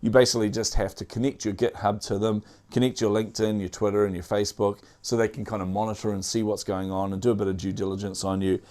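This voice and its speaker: A man's voice: on the low side, little pitch movement, quick pace, few pauses, a little mellow. A man with a New Zealand accent hosting a casual tech news show, chatty, with frequent fillers and dad jokes.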